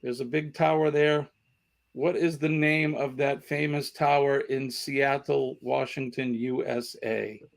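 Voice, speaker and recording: A man's voice: medium pitch, fairly even intonation, unhurried, creaky. A man hosting a casual live trivia stream, chatting conversationally and putting questions to viewers. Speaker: trivia livestream host. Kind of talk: casual livestream chat and quiz hosting.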